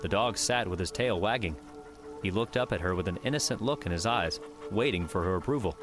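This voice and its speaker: A man's voice: deep voice, expressive, brisk, over music. A man reading scripted fiction narration as an audiobook narrator.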